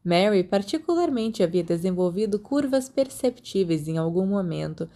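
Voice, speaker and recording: Woman, Brazilian Portuguese accent, mellow dark tone, mid-range pitch, audiobook-style fiction narration, podfic reader. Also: animated, moderate pace.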